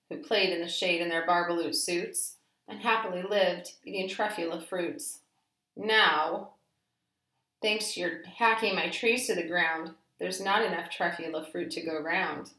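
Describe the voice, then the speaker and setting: A woman's voice: lowish voice, measured pace, sharp tone. Scolding, indignant old storybook creature, played by a woman, emphatic rhyming delivery, echoey room. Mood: indignant, sorrowful. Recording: roomy echo.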